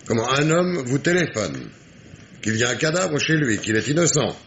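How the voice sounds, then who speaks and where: An actor playing a sardonic, dogged police inspector in a French radio mystery play, with ironic, emphatic diction. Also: male voice, medium pitch, big pitch swings, moderate pace, fairly bright tone, a little hiss or hum. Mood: sardonic, exasperated.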